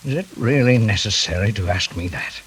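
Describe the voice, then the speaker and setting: A man's voice: lowish voice, expressive, brisk, fairly bright tone, rough recording. A man playing a menacing fugitive intruder, formal, commanding and icily courteous, in 1940s radio drama style. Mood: quiet menace. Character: cold, menacing male fugitive intruder, mockingly polite. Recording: faintly hissy vintage radio recording.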